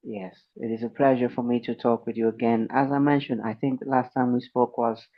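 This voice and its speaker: A man's voice: medium pitch, little pitch movement, a little fast, lo-fi sound. A man hosting an interview podcast, polite and effusive, with a slight sore throat. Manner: polite, effusive, thanks guests warmly. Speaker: podcast host. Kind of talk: friendly interview chat.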